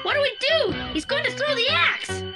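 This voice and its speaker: An actor playing an anxious, excitable teenage boy sidekick in an audio drama, plain-spoken and given to breathless exclamations. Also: very high-pitched, moderate pace, over loud music. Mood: frightened.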